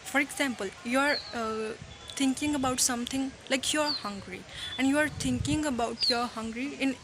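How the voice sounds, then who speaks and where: A woman whose first language is Punjabi gives a chatty English lesson in a fairly high voice. She keeps checking in with the listener and stops to explain each idiom. Steady background noise runs under the recording. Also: moderate pace. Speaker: English teacher. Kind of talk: casual spoken English lesson.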